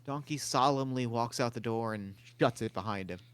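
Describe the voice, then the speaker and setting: A man's voice: mid-range voice, normal speed, a bit bright, clean tone, dry recording. A man reading stage directions aloud at a table read in a plain, matter-of-fact way.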